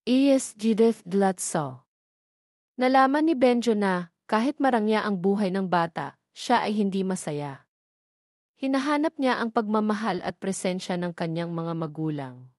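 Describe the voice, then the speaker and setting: A woman's voice: medium pitch, animated, a little slow, darkish tone, no room sound. Woman narrating, close and dry. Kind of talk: scripted story narration, reading dialogue herself.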